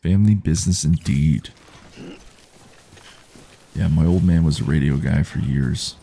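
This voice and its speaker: A man with a baritone voice giving calm, unhurried commentary while he plays a game and reads chat on a live stream. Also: gravelly, slightly rough. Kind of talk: relaxed live gameplay commentary.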